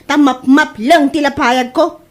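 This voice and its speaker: A woman's voice: high voice, steady tone, measured pace. A woman playing an indignant, defensive young daughter in an Ilocano comedy drama, complaining emphatically with an Ilocano accent.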